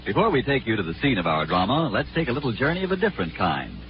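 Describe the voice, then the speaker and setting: A vintage radio recording, dulled, with music behind. A male announcer gives a polished commercial pitch, his intonation swinging expressively as he stresses his florid sales copy. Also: huge pitch swings, fast, highs cut off. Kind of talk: 1940s radio advertisement, polished scripted pitch.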